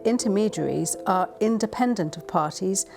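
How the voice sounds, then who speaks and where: A woman presenting a training film, delivering scripted information formally and deliberately. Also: mid-range voice, gravelly, over music. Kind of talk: scripted narration.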